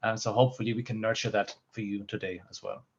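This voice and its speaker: A man's voice: fairly low pitch, steady tone, quick pace, husky, dull audio. A man with a German accent, a therapist and coach, introducing himself in an upbeat, chatty way on an online panel. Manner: upbeat, chatty, self-deprecating. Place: home room.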